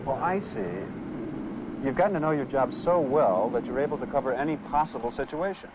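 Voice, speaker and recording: A man's voice: mid-range voice, lively intonation, brisk, natural voice. Actor doing an eager rookie salesman, polite and curious, 1970 training film, muffled with some hiss. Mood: interested. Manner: inquisitive, deferential. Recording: muffled, hissy 16mm film soundtrack.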